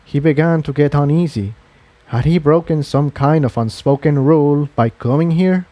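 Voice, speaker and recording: A man reading a fantasy adventure story aloud as scripted audiobook narration, in a measured, deliberate storytelling manner. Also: fairly high pitch, dry recording, lo-fi sound.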